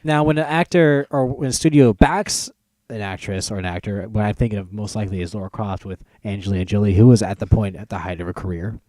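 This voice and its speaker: A man's voice: fairly low pitch, fairly even intonation, fast talker, warm tone, no echo. A man hosting a casual podcast chat, talking in an informal, agreeable way. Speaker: podcast host.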